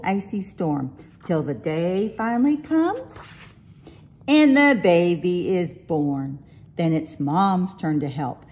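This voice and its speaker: A woman reading a rhyming picture book aloud with expressive, deliberate delivery. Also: on the low side. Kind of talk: storybook read-aloud in rhyming verse.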